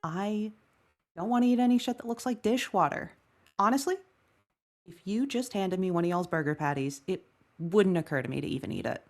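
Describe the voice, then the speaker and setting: A woman's voice: mid-range voice, a little fast, darkish tone, close to an everyday voice. A woman playing a wry, weary woman who gripes sardonically and swears freely in a conversational audio drama table read. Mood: exasperated ranting. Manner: sardonic, swearing, exaggerated griping. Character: Lucretia Evans, wry, griping, weary woman football-game player.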